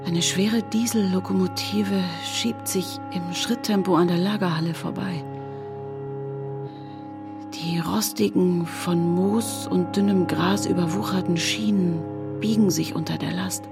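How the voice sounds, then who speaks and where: An actress playing a sceptical, sarcastic woman in an audio drama, wry and blunt, who can fall into a hushed, trance-like delivery. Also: fairly low pitch, fairly even intonation, measured pace, creaky, over music. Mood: entranced, hushed. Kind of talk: German audio drama acting.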